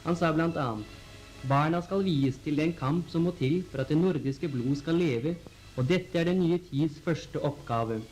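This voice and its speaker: A man reading scripted 1940s newsreel narration in a formal, measured announcer style on an old film soundtrack. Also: on the high side, darkish tone, soft background music, low-quality recording. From Norway.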